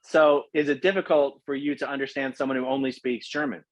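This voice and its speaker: A man hosting an interview, asking relaxed, curious questions. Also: mid-range voice, brisk, no echo. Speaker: host. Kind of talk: casual interview over video call.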